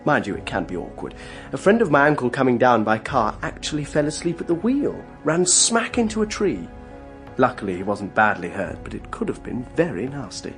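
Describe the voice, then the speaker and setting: An actor playing a courteous, considerate middle-class English man in a radio drama, talking in an expressive, conversational way. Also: mid-range voice, brisk, soft background music. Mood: affable, chatty.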